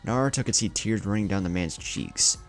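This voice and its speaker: A man's voice: lowish voice, expressive, a little fast, soft background music. A man reading a dramatic scripted story as its narrator. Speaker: fan fiction narrator.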